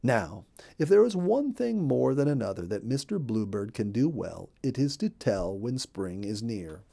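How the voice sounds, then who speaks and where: A middle-aged man narrating a children's story in an expressive storybook manner. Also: mid-range voice, measured pace, creaky. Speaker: storyteller, audiobook reader. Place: indoor room.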